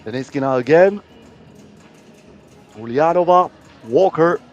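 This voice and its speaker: A man's voice: a little high, animated, unhurried, highs cut off, soft background music. A man giving live play-by-play commentary on a basketball game as a sports commentator.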